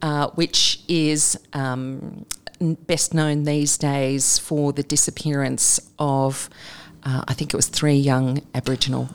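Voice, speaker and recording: Australian woman in her 50s, low voice, chatty and candid, lots of ums as she tells old family stories. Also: little pitch movement, slow, clear, resonant tone. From northern New South Wales, Australia. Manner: candid, storytelling, with frequent ums and self-corrections. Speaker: guest, journalist and broadcaster. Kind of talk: casual conversational interview.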